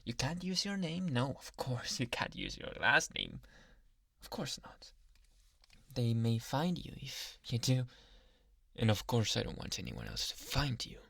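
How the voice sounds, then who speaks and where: A man's voice: medium pitch, big pitch swings, a little slow, gravelly, a bit bright, slightly rough, dry recording, heavily acted. A man playing a possessive, doting young yandere doctor in an ASMR roleplay, murmuring breathily and slipping in and out of whispers close to the mic. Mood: controlling, coaxing. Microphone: close microphone.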